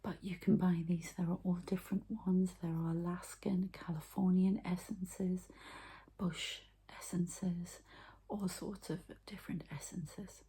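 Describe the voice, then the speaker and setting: A woman's voice: fairly low pitch, flat delivery, a little slow, mellow, breathy edge. A woman whispering breathily in an ASMR style, explaining things informally step by step with some hesitation. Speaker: ASMR host and energy healer.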